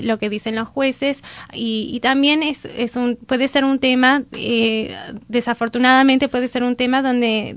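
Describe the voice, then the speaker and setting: A woman working in family mediation, explaining legal help as a guest in an interview, pausing often and slipping in English terms. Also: fairly high pitch, no room sound. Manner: hesitant, many restarts, mixes in English terms.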